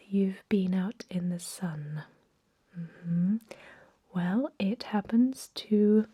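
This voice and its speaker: A woman playing a thousand-year-old vampire medic in an ASMR role-play, whispering breathily in a soothing, unhurried manner with murmured hums. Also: mid-range voice, mellow, breathy edge.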